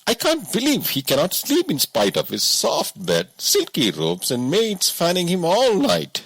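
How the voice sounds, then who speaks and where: A man's voice: sits high, wildly swooping pitch, unhurried, fairly bright tone, breathy edge, no room sound, voice pushed up, exaggerated voice. A man voicing a poor, hardworking woodcutter who speaks frankly and with wonder in an audiobook story.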